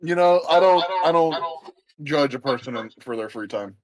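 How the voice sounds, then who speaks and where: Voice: mid-range voice, expressive, normal speed, a crowd in the background, poor audio. A player chatting and joking casually during a tabletop game. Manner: laughing, joking.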